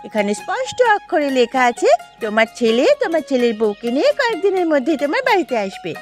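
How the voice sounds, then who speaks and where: A woman's voice: high-pitched, big pitch swings, fast talker. A woman voicing a friendly, helpful, chatty young village woman in a Bengali cartoon. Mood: explaining. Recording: music behind the voice.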